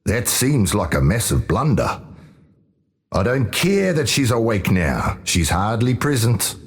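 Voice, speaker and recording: A man's voice: lowish voice, big pitch swings, measured pace. A man playing a bitter, restless dead tribesman in a fantasy game, sardonic and agitated.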